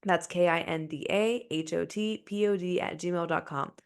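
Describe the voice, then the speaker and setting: Lowish-voiced young woman podcast co-host. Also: moderate pace, creaky, clear, resonant tone. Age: young adult. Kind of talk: casual chat. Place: small indoor room, remote video recording. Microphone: podcast microphone, close up.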